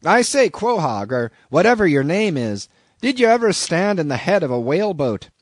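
Man acting a gruff, high-pitched blustering old sea captain. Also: big pitch swings, normal speed, dry recording, studio quality. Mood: hearty excitement. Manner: loud, hearty, commanding.

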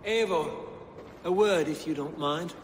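An actor playing a pompous, pious middle-aged Saxon bishop in a video game, speaking in a formal, measured manner. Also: male voice, a little high, big-room sound, a little hiss or hum, natural voice. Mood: contrite, polite. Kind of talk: video game drama acting.

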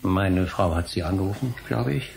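An actor playing a troubled middle-aged history professor in a German radio play, with formal, intense, emotional delivery and a gravelly voice. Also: male voice, deep voice, moderate pace, darkish tone, slight background noise. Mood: contrite, anxious.

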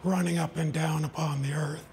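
A man voicing Death as a lonely, gentle male figure in a storybook read aloud, soft-spoken and wondering. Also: fairly high pitch, flat delivery, normal speed, a little hiss or hum, close to an everyday voice.

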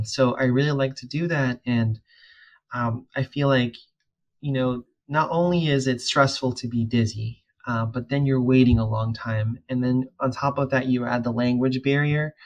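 A young man whose first language is Spanish, an audiologist talking casually on a video call, with lots of filler words. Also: medium pitch. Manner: relaxed, many fillers and restarts. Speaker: audiologist and PhD student. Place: room at home.

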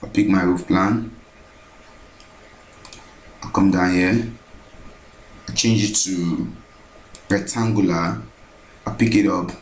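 A man narrating a screen-recorded software tutorial, thinking aloud as he repeats each step. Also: sits low, steady tone, slow pace, long pauses, roomy sound.

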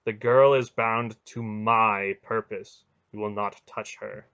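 A man voicing a calm, commanding spirit in a storybook, speaking in a measured, curt and authoritative way. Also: lowish voice, clear, resonant tone, highs cut off.

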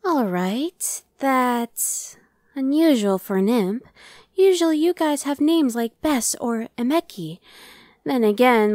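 Female demon scout, acted by a woman, slightly high pitch, casual, dry wit, ASMR audio drama, very dry sound. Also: a little mellow, dry recording. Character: young female demon scout Evanescence, casual, dry-witted, inwardly brooding. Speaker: voice actor.

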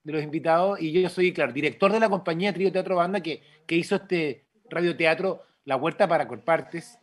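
A man chatting casually on a video call, halting and repeating words as he goes. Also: a little high. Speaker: theatre company director.

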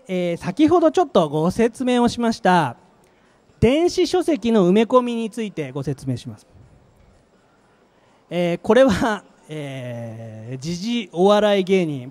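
A man's voice: sits high, a little mellow. A middle-aged man giving a live product presentation in short, emphatic phrases into a handheld microphone. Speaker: company manager presenting a product. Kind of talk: live trade-show presentation with slides. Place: presentation stage at an exhibition venue.

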